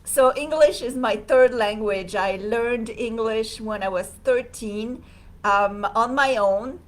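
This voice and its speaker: Slow, hesitant woman. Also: on the high side. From Serbia. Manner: hesitant, many fillers, reads viewer comments. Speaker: livestream host. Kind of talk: casual livestream talk.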